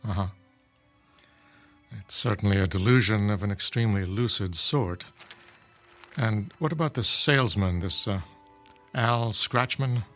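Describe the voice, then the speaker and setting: A reserved psychiatrist in a radio play, with a low voice. He listens and probes in a measured, clinical way over faint music. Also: fairly bright tone. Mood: detached, probing. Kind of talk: radio drama acting.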